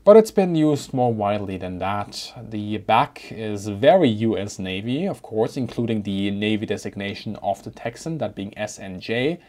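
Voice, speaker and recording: A man in his thirties giving a relaxed, explanatory walkthrough of an aircraft cockpit, with frequent filler sounds, recorded close in a cockpit inside a hangar. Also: on the low side, moderate pace, few pauses. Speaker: aviation history presenter.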